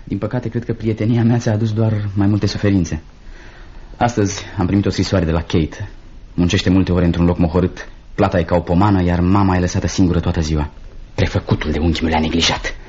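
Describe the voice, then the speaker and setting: A man playing a troubled, kindly teacher in a Romanian radio drama, speaking soberly and gently. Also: deep voice, flat delivery, breathy edge. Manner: sombre, gentle. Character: earnest, troubled young male teacher, kind and protective. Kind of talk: Romanian radio theatre acting. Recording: dull, band-limited radio drama recording.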